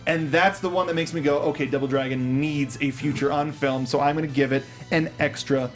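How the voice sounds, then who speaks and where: A man's voice: fairly high pitch, fairly even intonation, brisk. A man hosting a casual panel review show in an enthusiastic way. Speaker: host of a movie review show. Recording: music playing under the talk. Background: music.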